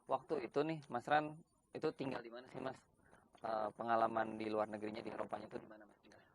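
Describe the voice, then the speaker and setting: A man's voice: fairly low pitch, flat delivery, fast, creaky, noisy background. A man hosting a casual interview, chatting informally and bantering with his guest. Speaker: host of a chat interview show.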